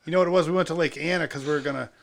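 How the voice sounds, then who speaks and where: A man's voice: a little high, gravelly, noisy background. A middle-aged man co-hosting a podcast, reminiscing in casual, emphatic talk and repeating his phrases for effect. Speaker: podcast co-host.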